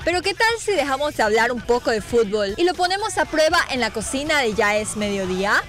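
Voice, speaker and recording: A woman's voice: on the high side, big pitch swings, brisk, soft background music. Female announcer reading a sports profile. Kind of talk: scripted sports profile voice-over.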